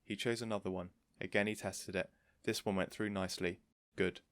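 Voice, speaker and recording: A man with a fairly low voice reads a children's story aloud. It is scripted audiobook narration, recorded dry with very little room sound. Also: fairly even intonation, brisk, a bit bright, no room sound. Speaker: audiobook reader.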